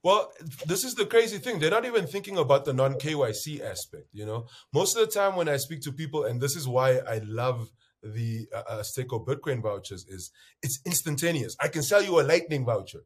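A man from Namibia, a guest on an interview show, speaking loudly and telling stories with quoted voices. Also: mid-range voice, a little fast, slightly rough. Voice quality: loud voice. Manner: acts out bar conversations, lively quoted voices. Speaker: bitcoin entrepreneur guest. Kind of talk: animated interview storytelling.

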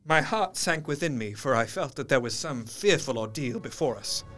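Actor as animated, troubled Victorian gentleman doctor. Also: medium pitch, moderate pace, faint music behind, lightly acted. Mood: dread. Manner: formal, expressive. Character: troubled Victorian gentleman doctor recounting horrors, proper, emotional. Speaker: narrator in character. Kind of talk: dramatic first-person audio drama narration.

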